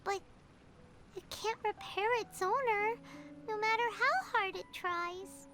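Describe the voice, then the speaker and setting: Squeaky, very high little fairy girl. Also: slow, very noisy. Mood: puzzled. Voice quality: squeaky, childlike. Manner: refers to herself in the third person. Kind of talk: video game character acting. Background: game music.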